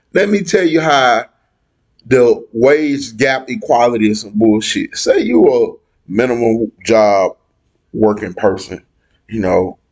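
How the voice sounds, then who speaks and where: A young man argues his side in a heated panel debate, blunt and full of slang. He talks slowly and hammers his points by repeating them. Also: mid-range voice.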